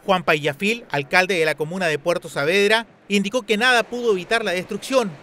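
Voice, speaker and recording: Male news reporter; even, measured scripted read, high pitch. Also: a little hiss or hum.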